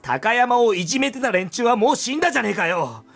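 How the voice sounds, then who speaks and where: A man playing an indignant, frightened teenage schoolboy in a visual novel, protesting in rough speech. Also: very high voice, very animated, very fast, fairly bright tone, exaggerated voice. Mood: indignant, scared. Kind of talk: Japanese visual novel voice acting.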